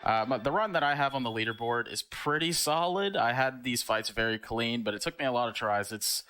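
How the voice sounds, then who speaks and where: A male speedrunner talks at an ordinary pitch in an easygoing, rambling way. Game music plays clearly behind him. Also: brisk. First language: English. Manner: relaxed, chatty, frequent fillers. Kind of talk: casual commentary while playing.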